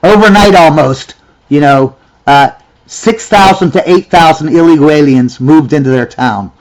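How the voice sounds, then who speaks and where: A man's voice: a little high, moderate pace, rough recording. A man giving reaction commentary in a ranting, sarcastic way, often repeating himself.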